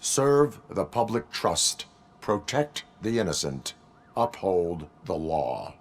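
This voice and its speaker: A stern cyborg police officer in a video game, speaking in a very deep, low voice. His words come out slowly and flatly, clipped and mechanical. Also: full character voice. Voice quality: flat, clipped, mechanical delivery. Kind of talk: video game cutscene acting.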